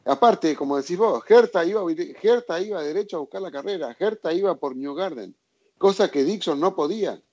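A man's voice: high-pitched, lively intonation, fairly quick, a little mellow. A man breaking down a racing maneuver in a casual chat, explaining in a methodical, technical way. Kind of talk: casual technical race analysis. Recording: dull lo-fi sound.

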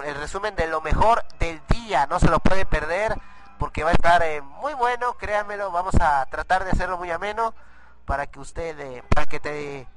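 A hoarse man, giving rambling live commentary on a school cultural event for the school radio. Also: on the high side, rough recording. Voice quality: husky. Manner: rambling, restarts often, excited bursts.

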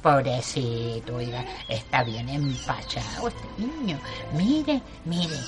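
A woman's voice: rumbling low, lively intonation, over music, full character voice. A woman playing a homely, confident country folk healer in a radio drama, with a rural Chilean accent and a folksy, repetitive way of talking. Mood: pitying, folksy. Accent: rural Chilean Spanish. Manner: folksy, repeats words, drawn-out reassurance.

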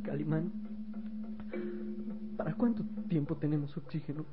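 A frightened boy sidekick in a radio serial, played by a man, with a fairly high voice. He is anxious, loyal and pleading, full of emotion. The recording is dull, with music underneath. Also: flat delivery, moderate pace, lightly acted. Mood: fearful. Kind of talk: Spanish-language radio serial acting.

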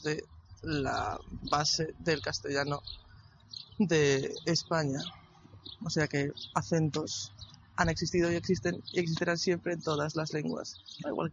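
A man giving a didactic, measured explanation as a language expert, in a recorded message. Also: on the high side, gravelly. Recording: noisy, muffled lo-fi recording.

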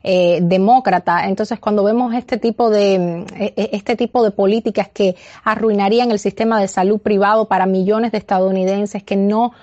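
A woman's voice: medium pitch, steady tone, moderate pace, few pauses, a little mellow, muffled recording. Woman guest in an interview, earnest and pushy, lots of uhs and restarts, middle voice. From Cuba. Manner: earnest, persuasive, with frequent hesitations. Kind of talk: political interview. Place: remote, in the United States.

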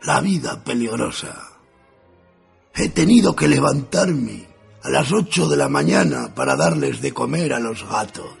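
A man acts a weary, wry old writer narrating his diary for radio theatre. His voice is very gravelly and unhurried, yet varied in pitch, over faint music. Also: animated, exaggerated voice. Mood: weary, deadpan.

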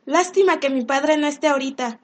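A woman's voice: high-pitched, a little fast, close to an everyday voice. A woman playing a sweet, earnest young daughter in a radio soap. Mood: tender. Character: sweet young daughter, devoted to her suitor. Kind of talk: Spanish-language radio soap acting.

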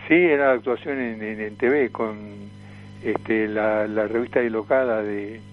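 A man's voice: fairly low pitch, steady tone, measured pace, phone-quality sound, soft background music. A man in his seventies from Tucumán with an Argentine accent, recalling his career as an interview guest in a hesitant, repetitive way. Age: 70s. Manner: hesitant, repeats words and phrases. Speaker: radio guest, veteran comic performer and voice impressionist. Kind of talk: casual reminiscing interview.

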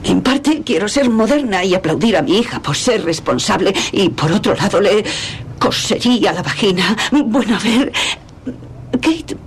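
Woman acting frazzled Castilian mother, lively intonation. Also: medium pitch, slight background noise, natural voice. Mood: conflicted, blunt. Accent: Castilian Spanish. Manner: confessional, halting, blunt. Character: frazzled, candid suburban mother in her forties. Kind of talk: dubbed TV dramedy monologue.

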